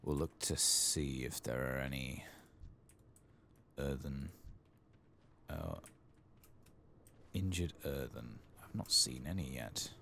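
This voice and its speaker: A soft-spoken man giving ASMR commentary on a video game, hushed and often slipping into whispers. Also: extremely low pitch, animated, slow pace, vocal fry, pauses often, slight background noise.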